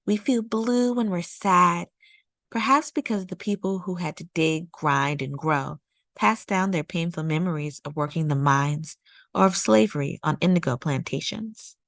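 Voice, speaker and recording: Female storytime narrator for children's nonfiction. Lower-leaning pitch, measured delivery, very little room sound. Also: vocal fry, a bit bright, no echo, studio quality. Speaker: storytime read-aloud host. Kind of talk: scripted read-aloud narration of a children's nonfiction picture book.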